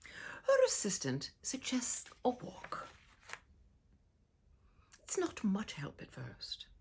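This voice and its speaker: A woman reading a children's picture book aloud in a theatrical, exaggerated way, with a breathy voice and dramatic shifts in delivery. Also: fairly high pitch, wildly swooping pitch, slow pace, whispered. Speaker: children's storyteller and read-aloud host.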